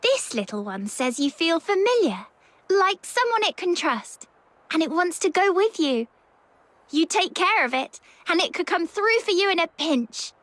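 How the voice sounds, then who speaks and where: A woman voicing a gentle, earnest young woman who guides others in a video game, speaking softly and a little hesitantly. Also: extremely high pitch, big pitch swings, measured pace, dry recording, heavily acted. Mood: friendly, explaining. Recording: loud game music behind.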